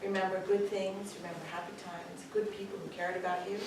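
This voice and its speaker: A woman's voice: lowish voice, level tone, fast talker. Canadian woman speaking in an echoey hall. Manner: warm, joking, with frequent ums. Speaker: parent giving a speech. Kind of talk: graduation speech. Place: school hall with pupils. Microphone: distant camera. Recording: echoey hall from far off, room noise.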